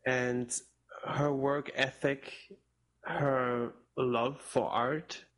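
A young man from Spain, raised in Portugal, whose first language is German, speaking as an interview guest in a reflective, halting way with frequent restarts. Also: mid-range voice, flat delivery, fairly bright tone, low-quality recording. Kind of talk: casual interview talk.

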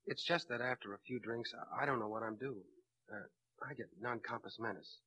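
An actor playing an earnest, frightened young sailor accused of murder, pleading and halting in a crime drama. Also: male voice, mid-range voice, sharp tone, breathy edge, rough recording. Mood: confused, earnest. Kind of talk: dramatic film-noir crime acting.

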